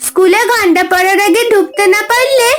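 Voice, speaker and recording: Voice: very high-pitched, a little fast. A performer voicing a playful, whiny but obedient young schoolgirl in a cartoon, with a small childlike voice. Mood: earnest. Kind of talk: cartoon story dialogue. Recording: music behind the voice.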